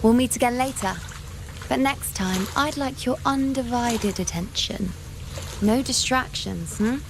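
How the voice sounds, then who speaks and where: A woman's voice: fairly high pitch, unhurried, background noise. An actress playing a confident, wry young warrior woman in story dialogue for a video game. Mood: teasing, confident. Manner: wry, self-assured, teasing.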